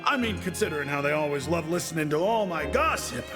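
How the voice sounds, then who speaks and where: An actor voicing a gossipy, chummy male prison inmate in a video game, with a gravelly voice. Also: a little high, animated, fast talker, natural voice. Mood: defensive, chummy. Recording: game music playing behind.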